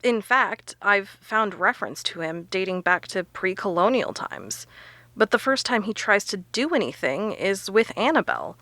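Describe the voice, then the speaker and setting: An actress playing a sharp, confident woman researcher who explains things emphatically in an audio drama. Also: mid-range voice, very animated, moderate pace, dry recording. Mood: explaining, intent.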